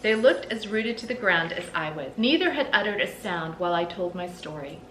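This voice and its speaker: A middle-aged woman reading a storybook aloud expressively, with deliberate phrasing and dramatic emphasis. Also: expressive, fairly bright tone. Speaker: read-aloud host. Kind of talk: storybook read-aloud narration. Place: indoors in a small room lined with bookshelves. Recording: roomy echo, clear background rumble.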